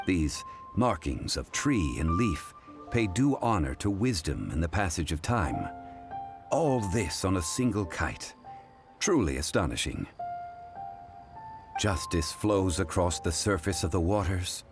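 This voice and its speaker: Very deep calm scholar guy, formal, lively intonation, game music behind. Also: a little slow, full character voice. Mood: composed. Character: learned, composed man, funeral parlor consultant. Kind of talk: game voice acting.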